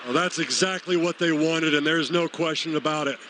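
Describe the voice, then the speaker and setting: A male football analyst with a moderately high voice, breaking down plays in an explanatory way. Faint stadium ambience sits behind his commentary. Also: fairly quick, noisy background. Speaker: color analyst.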